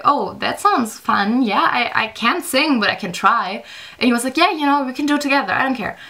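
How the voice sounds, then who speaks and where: A woman's voice: a little high, pushed and bright. A young German woman with a German accent, telling a story casually to her vlog audience with lots of fillers. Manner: chatty, many fillers. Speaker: vlogger. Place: room lit by daylight.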